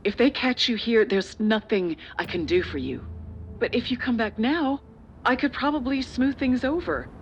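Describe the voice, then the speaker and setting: A measured, persuasive older official. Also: female voice, fairly high pitch, animated, lo-fi sound, slight background noise. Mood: evasive, coaxing. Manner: measured, persuasive, slightly condescending. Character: older female corporate official and parent, calculating, persuasive, patronizing. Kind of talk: video game dramatic voice acting.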